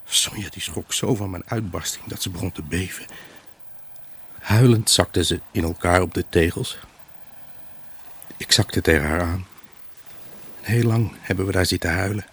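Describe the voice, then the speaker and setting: A man's voice: sits low, moderate pace, pauses often, breathy edge, full character voice. A man acting a troubled, brooding husband who tells of his unhappy marriage in a radio play monologue. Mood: brooding, subdued. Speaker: actor narrating a radio drama. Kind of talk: dramatic first-person monologue in a Dutch radio play.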